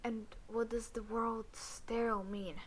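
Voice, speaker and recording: A woman playing a cool, clinical female alien doctor who teases her human captive in a roleplay audio drama, speaking in a deadpan, halting way. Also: a little high. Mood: cool, curious.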